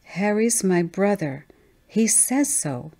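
A woman's voice: medium pitch, animated, slow, warm tone, pitched above the performer's own voice. A woman voicing a small, stubborn, innocent girl in a story, with an insistent childlike manner.

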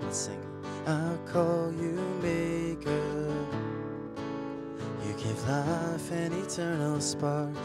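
Hoarse male worship leader singing, slightly high voice, band loud underneath. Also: fairly even intonation. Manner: casual, quick spoken welcome, then singing. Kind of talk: leading sung worship. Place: church sanctuary. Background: guitar band.

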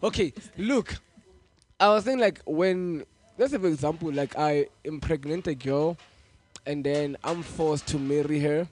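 A young man with a high voice chats animatedly on a podcast in a small studio, close to a stand mic. He keeps checking in with his listeners and slips easily between languages. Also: a little slow. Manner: animated, code-switching, keeps asking "you get me?". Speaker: podcast host. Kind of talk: casual chat. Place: small studio room, seated on a couch. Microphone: stand mic in front of the face.

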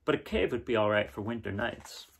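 A man in his thirties reading a picture book aloud in a casual, unhurried way with pauses between phrases. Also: on the low side, expressive, gravelly, a little hiss or hum. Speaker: reader of children's picture books. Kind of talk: gentle read-aloud storytelling and casual chat. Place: small living room indoors, seen on camera.

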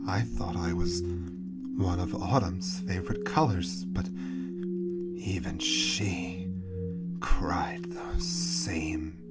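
Sinister harbinger of doom, very deep voice, taunting, whispery menace, theatrical monologue, loud music behind. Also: male voice. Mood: ominous, gloating. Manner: slow, deliberate, menacing, dropping into whispers. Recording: loud music and ringing tones over the voice.

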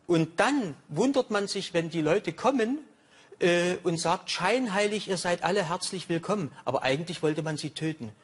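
A man's voice: high-pitched, expressive, moderate pace, slightly rough. A middle-aged man, a talk show guest, speaking in an agitated, emphatic way. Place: TV studio with audience.

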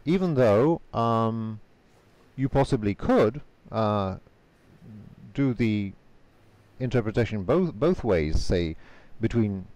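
A man whose first language is Polish answers interview questions carefully over a video call, pausing often with fillers. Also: lowish voice, lively intonation, a little mellow, no echo. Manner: measured, careful, frequent hesitation fillers. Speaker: guest, conference interpreter.

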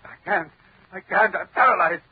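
A man's voice: sits high, normal speed, slightly rough, no echo. An actor playing a nervous man in a 1930s radio drama, slipping into panic. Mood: panicked. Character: Hughes, nervous debt-ridden man. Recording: tinny dry old radio broadcast.

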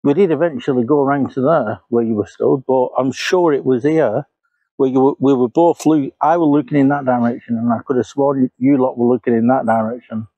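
A man's voice: medium pitch, fairly even intonation, fairly quick, no room sound, highs cut off. A man, walking through woodland and retracing his steps aloud in a casual vlog. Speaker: paranormal investigator. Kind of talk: casual walk-and-talk vlog. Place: woodland outdoors. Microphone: clip-on lapel mic.

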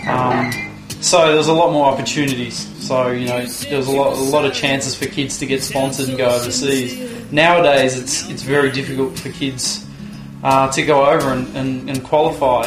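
A man in his twenties with an Australian accent from Tasmania, talking in a laid-back, musing way in a sit-down interview indoors. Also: medium pitch. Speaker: pro vert inline skater, interviewee. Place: indoor room with window blinds. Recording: roomy sound with music underneath. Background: music.

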